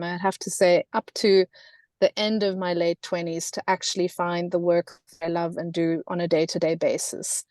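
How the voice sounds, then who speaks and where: A woman's voice: lowish voice, a little mellow, no room sound. A South African woman in her thirties presenting in a webinar, talking with many fillers and restarts. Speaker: webinar guest speaker.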